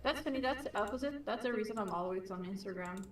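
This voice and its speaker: A woman artist whose first language is not English, chatting and teasing in a relaxed way on a stream. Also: mid-range voice, fast talker, echoey room, distant mic, soft background music. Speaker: artist, co-host. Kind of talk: casual chat on a livestream.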